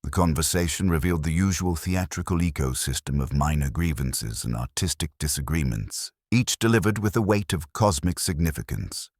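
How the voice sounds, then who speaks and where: A man reading a scripted mystery story in a measured, literary way with dry understatement. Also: a bass voice, animated, no echo. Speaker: narrator. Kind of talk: scripted mystery audiobook narration.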